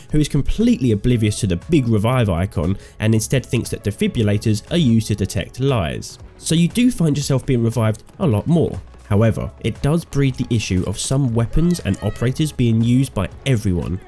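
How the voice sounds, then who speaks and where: Sick guy, kinda nasal, warm low-ish tone, snarky game review over soft music. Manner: opinionated, sardonic. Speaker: gaming video host.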